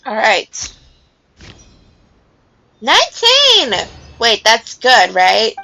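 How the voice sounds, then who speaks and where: A woman's voice: fairly high pitch, huge pitch swings, very slow, vocal fry, bright, forceful tone. A woman streamer giving casual commentary while she plays a game.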